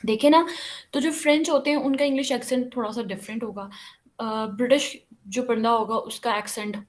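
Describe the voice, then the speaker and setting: Higher-pitched woman teaching English. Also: steady tone, brisk. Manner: switches between Hindi-Urdu and English, frequent fillers. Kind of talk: online class lecture.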